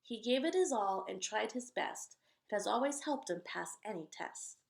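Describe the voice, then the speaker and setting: A woman with a medium-pitched voice reads a picture book aloud for children. She gives the storybook lines expressive emphasis, with a casual, conversational touch as host. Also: moderate pace, gravelly.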